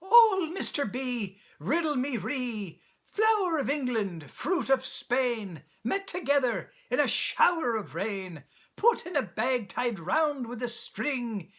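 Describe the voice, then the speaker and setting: Storybook squirrel, young male, played by a man: high, bright, impudent, teasing in sing-song rhyme. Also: very animated, slow pace, heavily acted. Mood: taunting, sing-song. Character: cheeky young male squirrel, impertinent, singing riddles.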